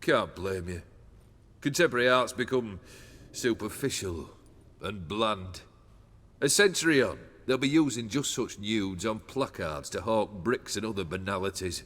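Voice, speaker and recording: A jaded, cultured bandit lord in a fantasy game. He philosophises slowly and theatrically, with lively swings of pitch and quiet menace. His voice rings in a long hall reverb. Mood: disdainful, musing. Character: jaded aristocratic male bandit chief, bored, cultured, menacing.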